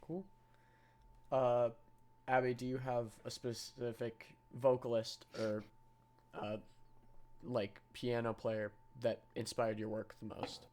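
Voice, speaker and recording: A man's voice: medium pitch, little pitch movement, takes it slowly, long pauses, a little mellow, slight background noise. A young man hosting a call interview, asking long, rambling questions with restarts. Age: young adult. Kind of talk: casual interview over a call.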